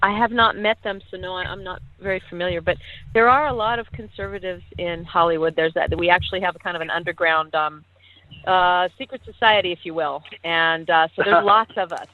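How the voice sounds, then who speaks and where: A woman's voice: fairly low pitch, steady tone, a little fast. A woman guest talking on the phone, emphatic and opinionated, restarting often. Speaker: guest, actress and activist. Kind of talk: phone-in interview. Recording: tinny phone line.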